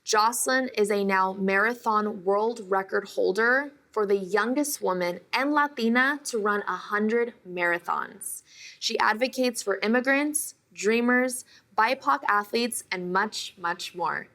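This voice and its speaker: A woman hosting a podcast interview, reading a scripted intro and then asking questions with lively enthusiasm. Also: mid-range voice, measured pace. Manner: warm and enthusiastic, praising the guest. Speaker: podcast host.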